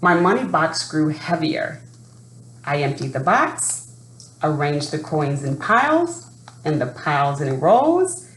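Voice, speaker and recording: A woman presenting and reading a picture book to children in an expressive storytelling style, addressing young listeners directly. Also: low-pitched. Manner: expressive, asks young listeners questions and pauses. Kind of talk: read-aloud storytelling for children. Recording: roomy sound with faint background noise.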